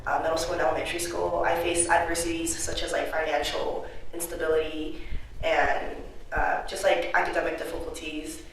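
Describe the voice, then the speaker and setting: A woman's voice: deep voice, completely flat delivery, brisk, slight background noise. A young adult woman, a college student whose first language is Chinese, answering interview questions in a reflective, casual way with many "like" fillers. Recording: echoey room, mic far off.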